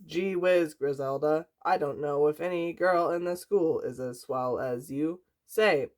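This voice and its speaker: A woman's voice: low voice, measured pace, a little mellow. A woman voicing an earnest, old-fashioned teenage boy who gushes with corny enthusiasm in a comic story. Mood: gushing. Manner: corny golly-gee enthusiasm. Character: earnest, wholesome, gushing old-fashioned schoolboy sweetheart.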